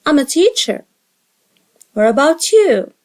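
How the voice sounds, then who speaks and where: Slow, high-voiced woman teaching English. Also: sing-song, a little mellow. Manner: slow, carefully spaced drill reading. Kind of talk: repeat-after-me dialogue drill.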